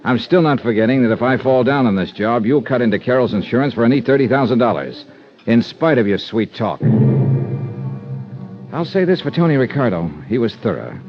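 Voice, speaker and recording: Hard-boiled insurance investigator played by a male actor, American, wry and assertive, animated pitch, dull old radio sound. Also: brisk, close to an everyday voice. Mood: firm. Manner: clipped, dry, assertive. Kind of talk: 1950s radio detective drama, narration and dialogue. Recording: dull vintage radio recording, music behind.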